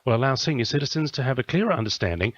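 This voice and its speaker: Fast-talking Australian male news reader. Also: mid-range voice, lively intonation, no room sound. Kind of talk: scripted news bulletin. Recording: dull lo-fi radio audio.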